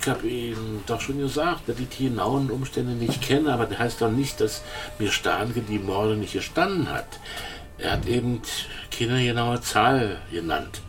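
An actor playing a shady, evasive informant in a radio drama, with a halting, hemming way of talking. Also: male voice, medium pitch, slight background noise, lightly acted. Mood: flustered, insistent. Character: shady, evasive pub-going male informant, eager and shifty. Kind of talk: naturalistic German radio drama acting.

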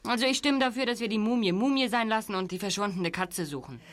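Casting: young amateur sleuth, nervy and protesting, raised voice, audio drama. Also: male voice, high voice, quick pace, fairly bright tone. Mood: nervous protest. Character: reluctant young male detective, jittery and protesting. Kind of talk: German audio drama acting.

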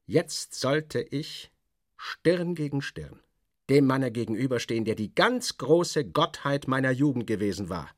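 A man giving a theatrical first-person reading of a literary satire, wry and animated, with dramatic pauses. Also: mid-range voice, no room sound, studio quality.

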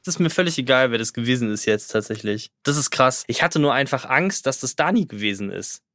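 A man's voice: medium pitch, animated, brisk, sharp tone, no echo, studio quality. A young adult man talking casually as a guest on a podcast. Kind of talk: casual conversational talk.

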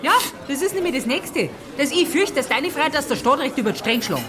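An actress with a Bavarian accent playing a headstrong, indignant farm bride with traditional views in a radio play. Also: female voice, high-pitched, lively intonation, fairly quick, crowd noise. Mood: exasperated.

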